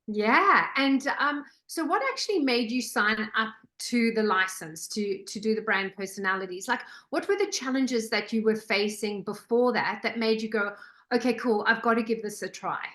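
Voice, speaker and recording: A woman's voice: on the high side, normal speed. Encouraging woman host in an echoey room. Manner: encouraging, warm praise of the guest. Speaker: host, program leader. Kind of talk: hosting an online group call. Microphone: video call.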